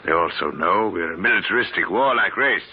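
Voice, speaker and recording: A stiff, precise professor type, very low voice, formal and pedantic; muffled vintage radio with light hiss. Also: male voice, lively intonation, a little fast. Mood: earnest. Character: odd, precise scholarly man seeking Martians. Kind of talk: 1950s radio drama acting.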